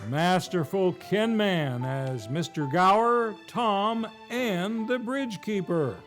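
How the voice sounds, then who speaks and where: A man's voice: sits high, slow. A man hosting a live vintage-style radio show with a showy, grandly enunciated announcer delivery. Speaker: host and announcer. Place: theater stage, live show. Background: faint music.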